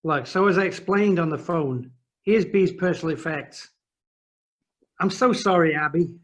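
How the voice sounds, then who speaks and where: A man playing a kind, concerned, slightly awkward friend in an audio play, gentle and hesitant. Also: a little high, moderate pace, natural voice. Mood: apologetic. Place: recorded at home during lockdown. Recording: muffled, noisy home recording.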